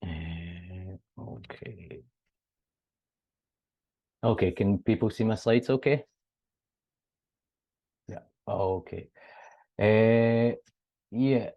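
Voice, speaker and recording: A man's voice: fairly low pitch, extremely slow, long pauses, dull audio. A young Scottish man, a PhD student, giving a friendly, earnest academic presentation over a video call. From Scotland. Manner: friendly, earnest, with casual fillers.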